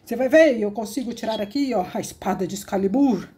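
A woman's voice: on the high side, quick pace, mellow, voice pushed down, close to an everyday voice. A woman voicing a greedy, boastful dragon villain who gloats and threatens in a toy-story play. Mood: threatening.

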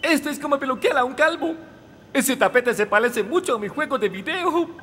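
Casting: cocky young cartoon footballer, extremely high-pitched and playful. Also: male voice, lively intonation, a little fast, bright, forceful tone, slight background noise, full character voice.

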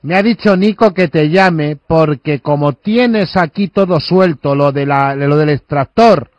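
A man with a Spanish accent from Spain speaks in a fairly high voice, close and dry. He is insistent, repeating himself and cutting in as he plays out a phone prank. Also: unhurried, dry recording, lo-fi sound. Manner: insistent, interrupting, repetitive, talks over the listener. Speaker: prank caller posing as a kitchen fitter. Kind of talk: phone prank, improvised conversation.

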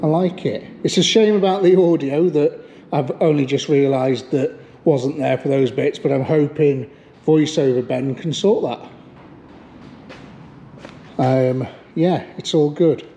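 A man's voice: on the high side, a little slow, a little hiss or hum. Chatty man in an echoey workshop. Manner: chatty, relaxed, talks to himself while working. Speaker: classic car restoration vlogger. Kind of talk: casual workshop vlog. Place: garage or barn workshop.

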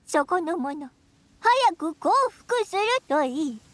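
A woman voicing a tiny childlike companion in an anime game, cheeky and excitable, with a squeaky voice and brash boyish speech. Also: takes it slowly, full character voice. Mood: mock-haughty imitation.

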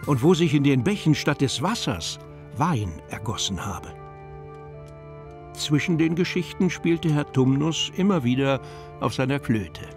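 A male narrator reads a scripted storybook tale for an audio drama. His voice sits at a middling pitch, and music plays clearly behind him. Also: expressive, a little slow.

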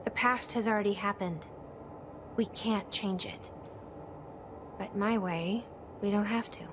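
An actress playing a grave, determined woman who believes in fate, speaking earnestly and deliberately in a cinematic video game. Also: medium pitch, noisy background, natural voice. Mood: grave, urgent.

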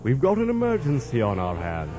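A man's voice: mid-range voice, huge pitch swings, a little fast. A man playing an earnest, fussy, paternal mad-scientist uncle in a comic radio play. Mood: grave, paternal. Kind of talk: radio play comedy acting.

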